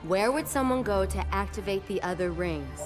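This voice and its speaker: A woman voicing a determined human military commander who fires off quick, pressing questions. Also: medium pitch, lively intonation, music in the background, natural voice.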